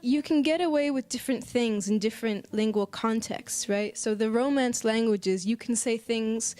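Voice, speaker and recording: An American woman speaking casually in an interview as a singer-songwriter, thoughtful and halting, often pausing mid-phrase. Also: on the high side, mellow, soft background music. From United States. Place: apartment.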